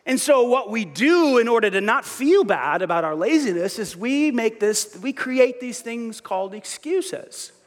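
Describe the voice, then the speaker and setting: Man pastor, light high voice, lively sermon, echo of a big church hall. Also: unhurried, hardly any pauses. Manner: lively, self-mocking, restarts often.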